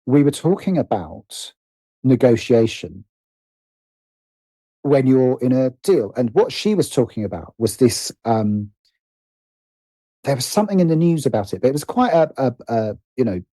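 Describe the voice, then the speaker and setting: A man's voice: medium pitch, big pitch swings, moderate pace, pauses often, mellow, no echo, poor audio. A middle-aged British man speaking reflectively as an interview guest, often stopping to rephrase himself. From Britain. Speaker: guest, writer and producer.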